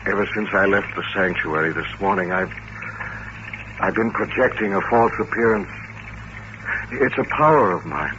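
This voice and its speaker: An actor playing a bitter, lonely mutant with hypnotic powers in an old-time radio drama, intense and commanding. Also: male voice, low-pitched, normal speed, long pauses, dull audio, natural voice. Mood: resigned, commanding. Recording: noisy, band-limited vintage radio recording.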